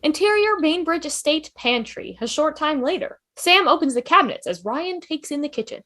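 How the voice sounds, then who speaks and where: A woman's voice: high-pitched, lively intonation, moderate pace, pushed and bright. A woman reading stage directions as scripted narration at a table read over a video call. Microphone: video call microphone.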